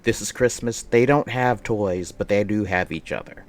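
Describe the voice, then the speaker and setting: A man's voice: fairly low pitch, moderate pace. A man livestreaming, casually chatting with viewers and reading aloud in a rambling, playful way that often repeats phrases. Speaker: live streamer.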